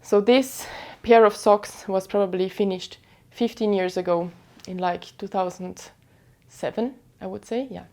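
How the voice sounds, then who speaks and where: Woman, thirties, Austrian accent, native German speaker, mid-pitched voice, casual vlog chat, reflective, self-deprecating. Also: fairly even intonation, a little slow, darkish tone. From western Austria. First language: Vorarlbergerisch Alemannic German. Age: 30s. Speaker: podcast host.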